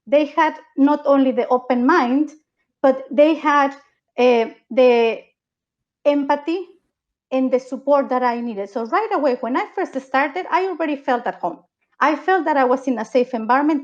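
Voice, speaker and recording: A woman's voice: sits high, a little mellow, lo-fi sound. A woman from Mexico speaking earnestly on a panel, often repeating words and adding "right?". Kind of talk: panel discussion.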